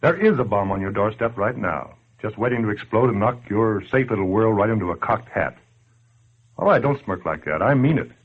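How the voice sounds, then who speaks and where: A man's voice: on the low side, brisk, breathy edge. An American man acting as a brash, wisecracking 1940s radio narrator who badgers the listener in sardonic slang. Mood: sardonic goading. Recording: tinny, band-limited old radio broadcast.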